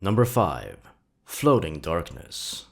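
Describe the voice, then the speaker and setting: A man reading scripted horror fiction as a dramatic narrator, with measured, deliberate phrasing. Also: sits low, huge pitch swings, vocal fry.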